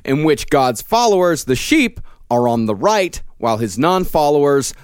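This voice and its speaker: A man hosting a podcast, reading prepared research in a measured, explanatory way. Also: a little high, sing-song, clean tone, no room sound. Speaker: podcast host presenting the research. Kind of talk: reading prepared notes between banter.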